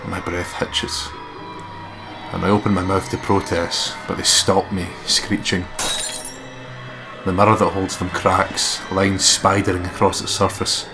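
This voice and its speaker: A man reading a horror visual novel aloud as a let's play narrator, in a measured, dramatic way. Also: deep voice, flat delivery. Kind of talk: reading game narration aloud, with casual asides. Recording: music behind the voice. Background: game music.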